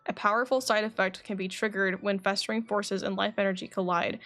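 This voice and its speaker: A woman's voice: medium pitch, little pitch movement, a little fast, a bit bright, faint music behind. A woman livestreaming a game, chatting informally and reading game dialogue aloud. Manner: chatty, informal, says "like" often.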